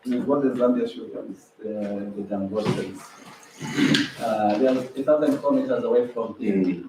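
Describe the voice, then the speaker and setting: A man explaining business matters in a halting way, repeating words, recorded from a distance with heavy echo and noise. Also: on the low side. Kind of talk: spoken explanation at an official visit. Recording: very echoey, distant mic, background noise.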